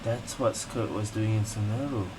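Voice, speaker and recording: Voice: mid-range voice, fairly even intonation, moderate pace, background noise. A gaming streamer giving casual playthrough commentary, reacting to the game and repeating its dialogue aloud. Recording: loud game music behind voice. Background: game music.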